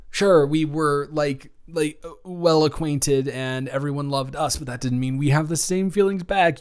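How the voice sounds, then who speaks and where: A young man playing a nervous guy confessing love to a best friend, rambling and playful, in an improvised romantic audio roleplay. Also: mid-range voice. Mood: playful, reminiscing. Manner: halting, rambling, self-interrupting, chuckling.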